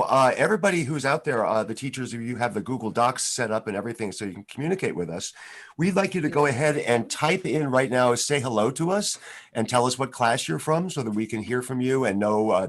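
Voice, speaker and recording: A man's voice: mid-range voice, lively intonation, fairly quick. A man hosting a live online music show for schoolchildren, chatty and friendly, with many fillers, over a video call. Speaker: host.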